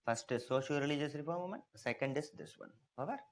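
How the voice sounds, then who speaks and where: A man's voice: a little high, fast talker. A man with an Indian English accent giving a classroom history lecture, emphatic and often asking the class whether they follow. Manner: emphatic, asks checking questions often. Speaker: history teacher.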